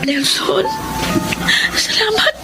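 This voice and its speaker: A tearful pleading young wife. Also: female voice, very high-pitched, very animated, very slow, heavily acted, low-quality recording. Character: distraught young wife and mother. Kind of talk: classic radio drama acting. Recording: radio drama with loud music bed.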